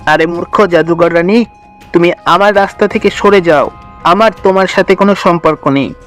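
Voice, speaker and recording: A threatening villain sorcerer from a fairy-tale cartoon, acted by a man. The voice sits fairly high and barks commands with menace. Music plays behind it. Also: moderate pace, a bit bright.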